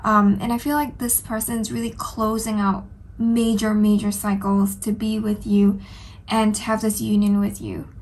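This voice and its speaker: A woman sits with her cards and talks through a reading in an unhurried, earnest way. Her voice is a touch nasal and stuffy from a cold, set at an ordinary middle pitch. She fills the pauses with soft ums and keeps coming back to how she feels about the cards. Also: little pitch movement. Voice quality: slightly nasal. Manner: gentle, earnest, with frequent ums and "I feel like". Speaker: tarot reader. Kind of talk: solo tarot reading to camera.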